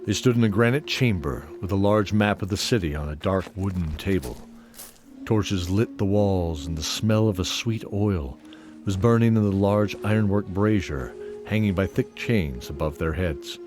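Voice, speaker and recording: A man narrating a scripted fantasy audio drama in a deliberate, atmospheric storytelling style. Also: low voice, music in the background.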